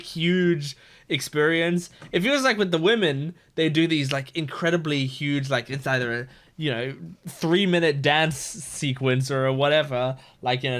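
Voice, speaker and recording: A man's voice: on the high side, fairly bright tone. A man hosting a reaction video with casual, playful, rambling commentary.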